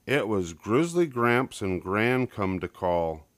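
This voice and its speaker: A man's voice: on the low side, big pitch swings, slow pace, no echo. An older man reading a children's picture book aloud as a storyteller. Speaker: storybook reader. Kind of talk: scripted children's storybook narration.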